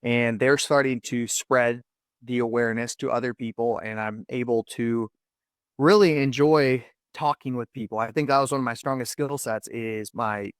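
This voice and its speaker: A man's voice: medium pitch, fairly even intonation, moderate pace, no echo, clean studio sound. A man raised in the US and Denmark, whose first language is Danish, telling his career story as a podcast guest in an eager, running style. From United States and Denmark. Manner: enthusiastic storyteller, repeats "I'm" phrases. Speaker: podcast guest, video studio founder. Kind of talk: casual interview talk.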